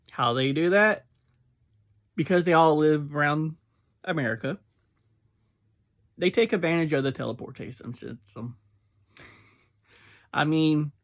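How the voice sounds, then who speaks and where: An unhurried, chatty reviewer in their thirties or forties talks through their thoughts at a slow pace, with a voice in the middle of the usual range. The manner is easygoing and informal, with small laughs and filler sounds mixed in. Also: medium pitch. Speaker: fan reviewer. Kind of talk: casual spoken review.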